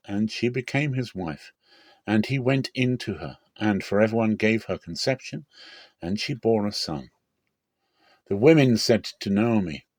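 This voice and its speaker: A man giving a dramatic scripture reading in a solemn, deliberate and emphatic way. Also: on the low side, darkish tone, dry recording. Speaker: Bible reader.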